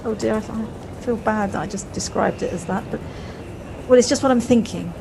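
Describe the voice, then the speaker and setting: Brisk, wry married woman acted, noisy recording. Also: medium pitch, vocal fry, natural voice. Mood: fussing, affectionate. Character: brisk, fretful, well-spoken married woman. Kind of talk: audio play acting. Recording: noisy home recording on personal device.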